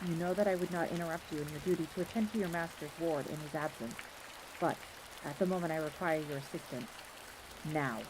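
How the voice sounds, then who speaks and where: A woman acts out a brisk, commanding fox maid in a fantasy audio drama. She is formal, curt and urgent. Rain pours clearly behind her voice. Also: low-pitched, natural voice. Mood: stern, insistent. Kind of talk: scripted roleplay audio drama. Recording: clear rain noise over voice. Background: pouring rain.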